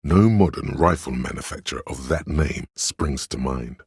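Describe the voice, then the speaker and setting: A man voicing an encyclopedic inner voice in a video game, learned and solemn, narrating in a measured, erudite way. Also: very deep voice, slightly rough, no room sound, studio quality, heavily acted. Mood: grave, reflective. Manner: measured, erudite, declarative delivery.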